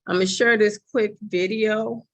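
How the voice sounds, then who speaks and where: A woman's voice: mid-range voice, steady tone, normal speed. A woman on a video call giving an emphatic motivational talk to her team and introducing a video. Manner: emphatic, repetitive.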